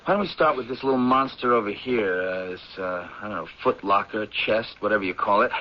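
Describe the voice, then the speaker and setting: A man's voice: fairly low pitch, a little fast, natural voice. An actor playing a teasing, idealistic young male anthropology professor in a 1970s radio drama. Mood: casual, teasing. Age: young adult. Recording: muffled 1970s radio broadcast.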